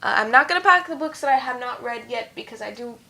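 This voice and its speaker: Bright-voiced young woman, chatty vlog. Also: a little high, lively intonation, quick pace, creaky. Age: young adult. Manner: rambling, chatty, many fillers. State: slightly hoarse, losing her voice. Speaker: YouTube singer. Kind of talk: casual vlog chat. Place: at home.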